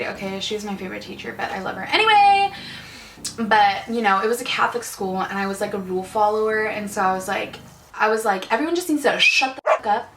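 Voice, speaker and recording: A woman's voice: mid-range voice, fairly bright tone. A young woman vlogger chatting casually and animatedly to camera, giggling often. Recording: roomy room, distant mic, faint music.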